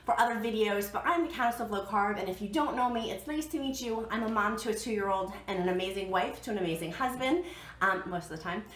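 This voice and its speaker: A woman's voice: medium pitch, fast, a bit bright. An American woman in her thirties chatting casually and emphatically to camera as a vlog host, sharing practical tips and repeating points for emphasis. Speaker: vlog host giving budget diet tips. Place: living room at home, indoors. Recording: echoey living room with background noise.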